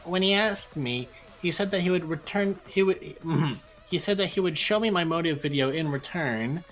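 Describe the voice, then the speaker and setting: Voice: mid-range voice, lively intonation, normal speed, natural voice. A performer in an amateur game fan dub voicing a guarded, defensive young woman in a blunt, dismissive manner. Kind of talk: fan dub of an anime detective game, breaking into ad-libs. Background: background music.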